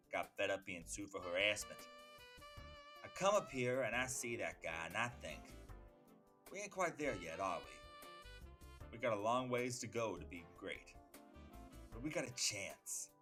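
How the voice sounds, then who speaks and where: Dude voicing a cocky streetwise hitman, slangy n chatty, real animated, comic dub with music behind. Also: fairly low pitch. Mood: reflective. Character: cocky, opinionated, streetwise hitman with a soft heart. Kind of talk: dramatic comic book dub.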